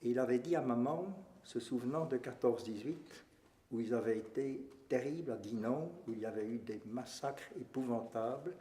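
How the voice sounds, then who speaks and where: A man, giving a measured and earnest personal testimony as a witness. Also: mid-range voice, mellow. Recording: echoey room.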